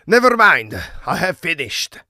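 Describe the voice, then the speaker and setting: An actor playing a proud, irascible composer in a farcical period audio drama. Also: male voice, on the high side, sing-song, a little slow, bright, forceful tone, heavily acted. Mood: curt. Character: irascible Italian maestro composer, proud and flamboyant.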